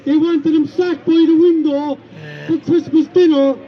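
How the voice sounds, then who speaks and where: An Irish man speaks at an outdoor rally with a big, carrying voice pitched unusually high. He delivers his points slowly and emphatically, repeating himself with indignation. The sound is somewhat dull and muffled. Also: fairly even intonation. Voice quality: big loud voice. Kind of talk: outdoor protest speech.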